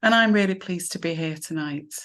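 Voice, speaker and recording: A woman from Lancashire introduces herself on a call. She sounds friendly and a little halting, in a somewhat low voice. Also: a bit bright. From Lancashire, England. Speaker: herbalist.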